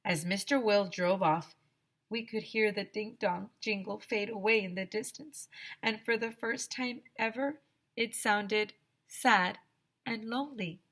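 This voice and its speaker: A woman teacher reading a children's story aloud in an expressive, dramatic, emphatic storytelling manner. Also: mid-range voice, a little slow.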